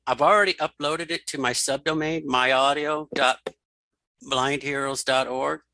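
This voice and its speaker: Male tutorial host, middle-aged, crisp dry mic, bright delivery, informal step-by-step narration that thinks out loud. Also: medium pitch, dry recording. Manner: informal, thinking aloud, repeats key steps. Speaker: tutorial host and web developer. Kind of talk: screen reader software tutorial, talking through steps.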